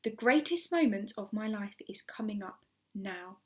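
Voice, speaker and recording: Woman voicing a shy, earnest gentleman. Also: mid-range voice, expressive, moderate pace, lightly acted. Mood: nervous whisper. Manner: earnest, hushed when nervous. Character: shy, nervous, lovestruck gentleman, earnest and hopeful.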